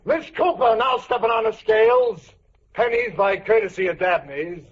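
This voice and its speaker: An American man playing a sly, hammy butcher who booms out drawn-out announcer patter in a 1940s radio sitcom. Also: very animated, a little hiss or hum. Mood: showy, proclaiming. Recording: muffled old radio with audience.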